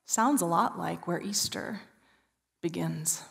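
Woman, 40s, pastor, Easter sermon, hushed storytelling pauses, long hall reverb. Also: fairly low pitch, vocal fry. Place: large church stage.

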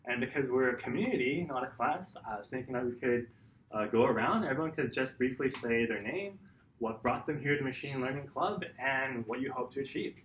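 A man's voice: mid-range voice, brisk, a bit bright. Young male club host, informal announcements, echoey room, recorded from a distance. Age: young adult. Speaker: student club leader hosting a workshop. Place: meeting room, indoors. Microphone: distant table-level camera mic. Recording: echoey room, distant mic, faint noise.

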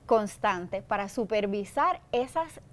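A woman's voice: mid-range voice, expressive, slow. A middle-aged woman with a Puerto Rican accent, a political candidate being interviewed, laying out her plans in an earnest, persuasive way. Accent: Puerto Rican Spanish. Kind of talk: interview answers. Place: TV studio set, seated in an armchair. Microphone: lapel mic.

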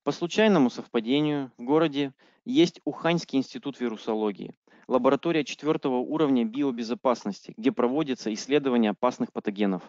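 A man's voice: medium pitch, darkish tone, dry recording. Brief: male consecutive interpreter, hesitant, dry muffled call line. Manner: halting, with frequent fillers and self-corrections. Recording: dry, dull lo-fi call audio.

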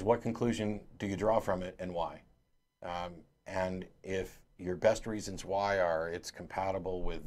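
A middle-aged man hosting a call-in show in a studio, explaining his points emphatically and gesturing as he talks. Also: deep voice. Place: studio, at a desk. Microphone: lapel mic.

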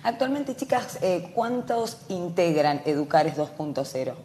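A woman's voice: on the low side, warm tone. Argentine TV host, woman, affirming, handheld mic, faint noise floor. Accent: Argentine Spanish. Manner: affirming, quick backchannels. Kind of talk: studio interview questions. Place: TV studio.

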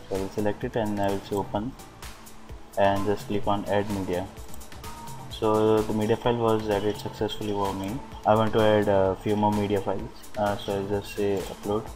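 A man giving an unscripted software walkthrough as a demonstrator, with a hesitant, halting delivery. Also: lowish voice. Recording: music playing behind the voice. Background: music.

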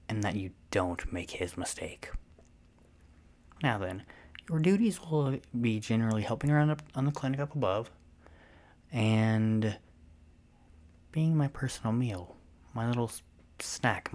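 Vampire mistress , played by a woman: smug, playful, possessive, breathy ASMR delivery, taking her time. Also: a bass voice, darkish tone. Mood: solemn, then teasing, smug. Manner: self-satisfied, teasing, unhurried, often breathy and half-whispered. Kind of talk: ASMR roleplay.

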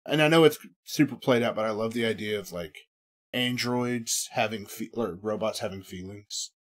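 A man in his thirties co-hosting a casual gaming podcast, relaxed and agreeable, often answering briefly. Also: lowish voice, moderate pace. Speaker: podcast co-host. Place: indoor studio set.

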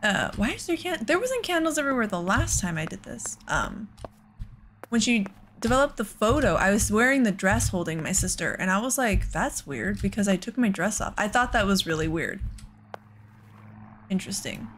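A woman's voice: medium pitch, sing-song, moderate pace, a bit bright, a little hiss or hum. A woman giving casual let's play commentary, thinking aloud in an exasperated way. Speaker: gaming host.

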